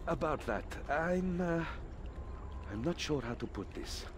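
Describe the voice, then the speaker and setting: A man's voice: fairly high pitch, animated, unhurried, noisy background. A man voicing a cocky, teasing young adventurer hero in a video game, playful and theatrical. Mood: earnest.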